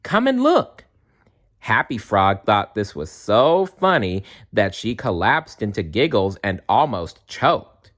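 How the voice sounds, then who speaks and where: Slow, lively male storybook narrator. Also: on the low side. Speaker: storybook reader. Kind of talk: read-aloud children's story narration.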